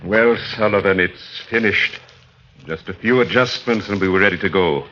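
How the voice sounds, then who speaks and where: An actor playing a sinister, coldly polite mad scientist in 1940s radio horror, formal, condescending and commanding. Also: male voice, on the low side, moderate pace, sharp tone. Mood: smug. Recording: muffled, noisy vintage 1940s radio recording.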